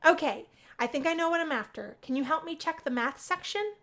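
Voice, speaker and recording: A woman's voice: sits high, animated, fairly quick, fairly bright tone, voice pushed up. A woman voicing a shy, bookish young female college student in a visual novel read-through.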